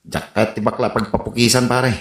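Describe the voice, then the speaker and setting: A man's voice: mid-range voice, flat delivery, fairly quick, fairly bright tone, close to an everyday voice. A man playing a suspicious, grumpy friend with an Ilocano accent, grumbling reluctantly in a comedy radio drama. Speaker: actor. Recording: echoey room.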